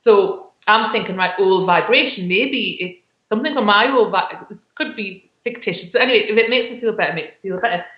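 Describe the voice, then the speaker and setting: A woman's voice: mid-range voice, fairly quick, hardly any pauses. A woman co-hosting a casual self-help chat, talking in a reflective, rambling way and often breaking off mid-phrase. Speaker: co-host. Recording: echoey, dull online call audio.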